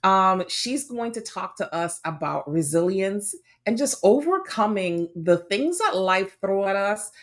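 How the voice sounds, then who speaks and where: A woman's voice: medium pitch, lively intonation, unhurried. A woman hosting an interview podcast in a casual, bubbly way, laughing off her own slips. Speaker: podcast host.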